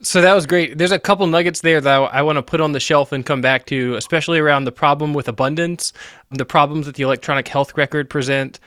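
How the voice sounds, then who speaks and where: A man hosts a podcast, asking long, carefully framed questions and teasing his guest in a friendly way. His voice sits a little higher than most men's, recorded dry and close. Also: fairly even intonation, quick pace, a bit bright, dry recording. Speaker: podcast host. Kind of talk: conversational interviewing.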